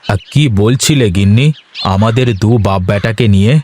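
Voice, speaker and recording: A man's voice: fairly low pitch, moderate pace, darkish tone, a little hiss or hum, natural voice. A man voicing a grumbling, easygoing village husband and father in a moral-story cartoon, with an exclamatory rustic manner. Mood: teasing, dismissive.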